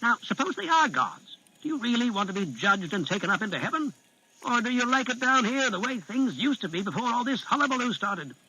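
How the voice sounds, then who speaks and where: A man's voice: very high voice, sing-song, a little fast, pushed and bright, a little hiss or hum, pitched above the performer's own voice, heavily acted, low-quality recording. A man doing a blunt bearded dwarf leader. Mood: urgent. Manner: blunt, insistent, commanding. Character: High Froman Darrow, a gruff bearded dwarf leader.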